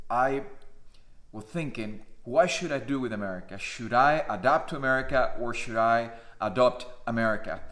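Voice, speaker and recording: A man's voice: medium pitch, fairly bright tone. A man in his fifties from Cuba with a Cuban Spanish accent, whose first language is Spanish, giving an earnest, emphatic personal testimony to camera with frequent "uh" fillers. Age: 50s. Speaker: pastor. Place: indoors, in front of a flag.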